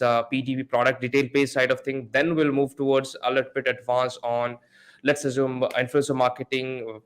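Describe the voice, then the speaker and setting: A man's voice: mid-range voice, a bit bright. A man hosting a podcast, a non-native English speaker, introducing guests in an eager way with frequent repeats. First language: not English. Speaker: podcast host.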